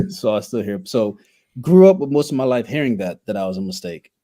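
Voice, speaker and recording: A man's voice: medium pitch, very animated, fairly quick, mellow. A man in his thirties who grew up in Haiti shares his life story as a podcast guest. He talks candidly and reflectively, with some wry humor, in a mid-range voice. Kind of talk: personal storytelling in conversation.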